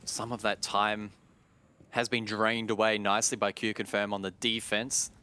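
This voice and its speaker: A male esports caster with a fairly low voice gives live analysis of the match. There is faint game noise and clicking behind his commentary. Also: little pitch movement, moderate pace, sharp tone.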